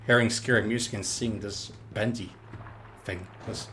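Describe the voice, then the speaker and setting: Halting man streaming. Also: lowish voice, steady tone, noisy background. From Norway. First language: Norwegian. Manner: halting, repeats words, chatty with viewers. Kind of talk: live gameplay commentary.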